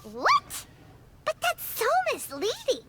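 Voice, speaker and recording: Tiny female game fairy, piping squeaky childlike voice, wildly animated, exclamatory, noisy background. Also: slow pace, exaggerated voice. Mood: shocked. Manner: exclamatory, refers to self in third person. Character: small excitable childlike floating fairy companion, female. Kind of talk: animated video game character acting.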